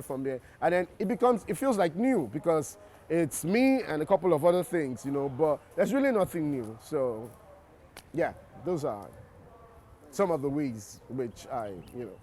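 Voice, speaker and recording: A man's voice: fairly high pitch, warm tone, slight background noise. A Nigerian man, an actor in an interview, explaining his craft reflectively and often restarting his sentences. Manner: reflective, restarts and repeats words while explaining. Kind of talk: interview answers.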